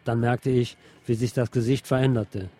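Mellow, slightly husky male radio presenter. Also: medium pitch, fairly even intonation, moderate pace. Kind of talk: scripted news commentary, reading aloud.

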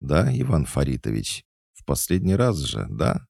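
A man's voice: extremely low pitch, sing-song, normal speed, vocal fry, darkish tone, dry recording, clean studio sound, pitched above the performer's own voice, heavily acted. Man putting on a theatrical, drunken old tomcat. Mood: sheepish, pleading. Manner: theatrical, plaintive, drawling. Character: drunken self-pitying old tomcat, washed-up actor.

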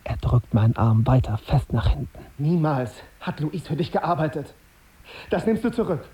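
Brooding young journalist, dark soft-toned. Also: male voice, fairly high pitch, big pitch swings, moderate pace, husky, exaggerated voice. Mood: upset, protesting. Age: young adult. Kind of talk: radio drama acting with first-person narration.

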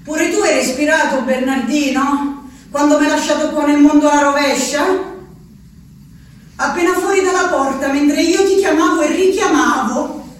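A woman's voice: high voice, normal speed, lightly acted. An actress playing a restless peasant wife shut in an asylum, telling her story in an intimate, obsessive, repetitive way with an Abruzzo Italian accent, as a theatrical radio monologue. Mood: hurt, intimate. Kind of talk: theatrical radio drama monologue, reciting a letter. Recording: roomy, distant, noisy radio-theatre recording.

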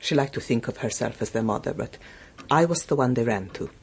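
An actress playing a stern, loyal long-serving housekeeper in a radio drama, curt, guarded and defensive. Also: female voice, extremely low pitch, expressive, brisk, a little mellow, slightly rough, full character voice. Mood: bitter, defensive.

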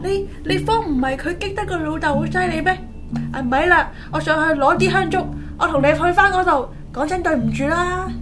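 A woman's voice: extremely high pitch, brisk, music in the background. A woman playing a bossy, scolding wife in a radio drama, with a Hong Kong Cantonese accent. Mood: alarmed. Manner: scolding, exasperated. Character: bossy, nagging, superstitious wife of a taxi-style everyman.

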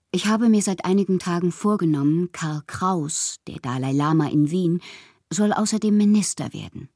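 A woman playing a whimsical bohemian poet who confides her letters in an intimate, breathy, theatrical way for a radio drama. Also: on the low side, warm tone, no room sound, clean studio sound. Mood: playful, musing. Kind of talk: literary radio drama, letters read as monologue.

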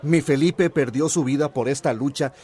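An actor playing a determined, grieving village activist in a radio drama, insistent and repeating himself. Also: male voice, on the high side, moderate pace, dark tone, husky. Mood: angry, defiant.

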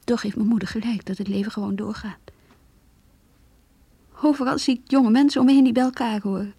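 Breathy, heartbroken young woman. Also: fairly high pitch, no room sound. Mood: wistful. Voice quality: breathy, hushed. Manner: intimate, halting, sorrowful. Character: heartbroken young woman confiding her lost love. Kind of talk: radio play monologue, diary-like narration.

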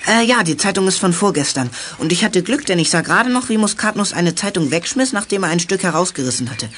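A male actor playing a plucky, boastful, energetic boy detective in a German children's audio drama, reporting with confidence. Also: high voice, fast talker, slight background noise. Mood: keen.

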